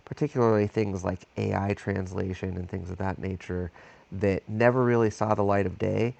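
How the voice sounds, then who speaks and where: A man giving reflective, measured voice-over commentary as a tech video host. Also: low-pitched, vocal fry, darkish tone, no echo.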